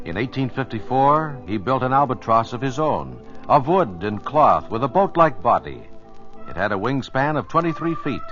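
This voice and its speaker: Animated radio narrator, a man. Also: medium pitch. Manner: measured, dramatic announcer delivery. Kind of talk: 1950s radio scripted dramatic narration. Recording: muffled vintage radio transcription. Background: music.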